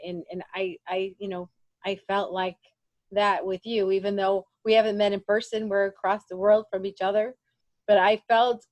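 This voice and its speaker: A woman's voice: mid-range voice, darkish tone, no room sound. Effusive woman host, dry sound. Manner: effusive and affirming, many fillers. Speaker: podcast host. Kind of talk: interview conversation.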